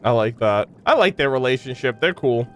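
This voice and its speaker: A man hosting a gaming reaction video, chatting casually in an expressive, slangy way. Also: mid-range voice, a little fast.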